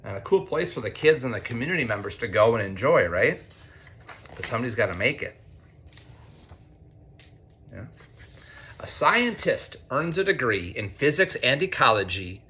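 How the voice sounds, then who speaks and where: An American man, a teacher, reads a picture book aloud to children in an expressive way, with conversational asides. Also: mid-range voice, slight background noise. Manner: expressive, deliberate when reading, conversational in asides. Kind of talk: read-aloud storytelling with chatty asides to children.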